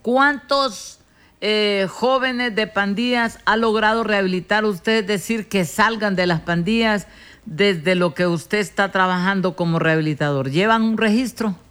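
An interviewer with a mid-pitched voice who presses a pastor with pointed questions. The tone stays direct and challenging. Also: a little slow, fairly bright tone, clear, resonant tone.

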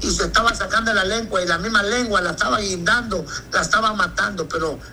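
A pastor with a high, strikingly bright voice, speaking in an interview. He talks emphatically and keeps checking that he's understood. A faint hiss of noise sits under the recording. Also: fast talker. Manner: emphatic, repeats "me entiende". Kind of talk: interview answers.

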